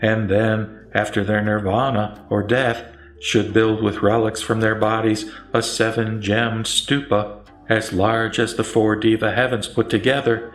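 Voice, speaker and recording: A man reciting the Buddha's part in a scripted sutra audio drama, as a serene, authoritative teacher with solemn, deliberate phrasing. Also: on the low side, flat delivery, close to an everyday voice. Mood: serene, instructive. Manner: solemn, didactic, deliberate listing. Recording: roomy echo, music bed underneath.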